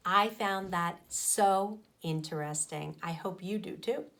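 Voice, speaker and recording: A woman teacher reading a storybook and explaining to children in an expressive, emphatic way. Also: fairly low pitch, slow. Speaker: teacher reading aloud to pupils.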